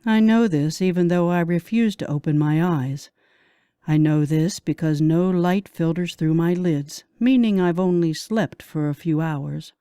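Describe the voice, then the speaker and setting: A woman narrates a novel in the first person with a slightly low voice. Her reading is scripted and expressive, leaning into the drama of the story. The sound is dry, with very little room around it. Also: unhurried, clear, resonant tone, no room sound. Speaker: audiobook narrator.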